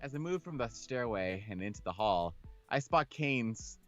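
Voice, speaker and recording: A man's voice: mid-range voice, animated, normal speed, fairly bright tone, muffled recording, faint music behind. A man casually reading a game aloud and chatting about it with slangy, sweary reactions. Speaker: let's-play host reading a visual novel aloud. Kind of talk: casual co-host chat and narration of a game.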